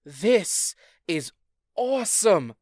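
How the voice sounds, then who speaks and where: A woman's voice: medium pitch, very animated, extremely slow, darkish tone, dry recording, full character voice. A woman acting a wry fairy queen in a comedic audio drama, dry, teasing and unimpressed. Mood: needling, provocative. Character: wry, needling fairy queen who craves spice.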